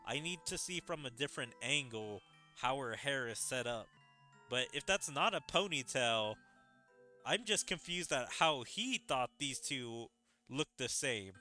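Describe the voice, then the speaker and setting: A man's voice: medium pitch, animated, a little slow, bright, forceful tone, soft background music. A man giving casual game commentary as a streamer, musing and speculating as he goes. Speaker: gaming streamer.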